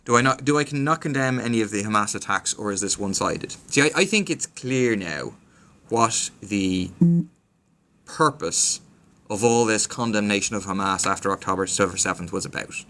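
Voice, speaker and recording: A man's voice: on the low side, moderate pace, bright, forceful tone. An Irish man talking in a casual livestream, reading out viewer comments and answering them earnestly, with frequent ums. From Ireland. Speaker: politician answering viewer questions.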